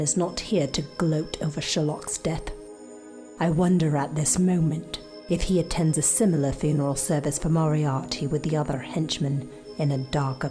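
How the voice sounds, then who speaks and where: Low-voiced, brooding Victorian avenger. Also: female voice. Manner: grave, deliberate, menacing. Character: Sherlock Holmes's dark, vengeful older sister, brooding Victorian detective. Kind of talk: radio drama monologue.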